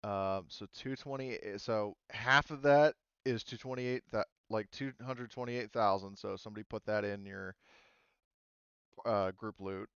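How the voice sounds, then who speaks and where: A man's voice: on the low side, unhurried, a bit bright, clear, resonant tone, dry recording, highs cut off. A man running an online tabletop roleplaying game as game master, talking casually in a hesitant, rambling way with trailing thoughts.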